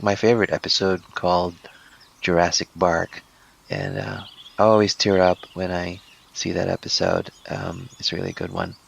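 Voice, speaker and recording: A man's voice: sits low, vocal fry, dull audio. A man leaving a casual voicemail message as a caller.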